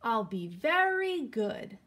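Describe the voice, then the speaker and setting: An eager storybook dog who means well but is easily tempted, acted out by a woman. The voice is high and slow, with earnest, drawn-out words that rise and fall widely. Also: big pitch swings, a bit bright, exaggerated voice.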